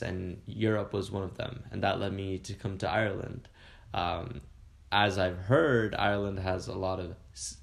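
A man's voice: low-pitched, creaky. A man, a food blogger chatting upbeat and rambling as a podcast guest. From Canada. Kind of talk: casual interview chat.

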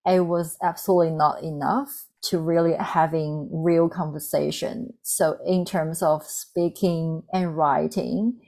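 A woman's voice: sits low, fairly even intonation, a little slow, creaky, dark tone. A woman in her thirties from China, a native Mandarin speaker with a Mandarin Chinese accent, talking earnestly and candidly as an interview guest, with frequent ums and restarts. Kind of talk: reflective personal storytelling.

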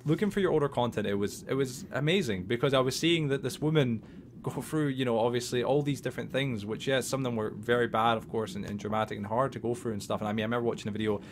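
Bubbly young Scottish man, quick talker. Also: medium pitch, a little hiss or hum. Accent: softened Scottish. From Scotland. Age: 20s. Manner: bubbly, energetic, stammering restarts. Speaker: podcast host. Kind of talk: casual interview chat.

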